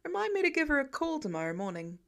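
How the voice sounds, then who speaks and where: A woman's voice: high voice, sing-song, fast talker, a bit bright, voice pushed up, exaggerated voice. Woman reading as a dry, fussing protective husband. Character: protective, bantering husband and father, adult man.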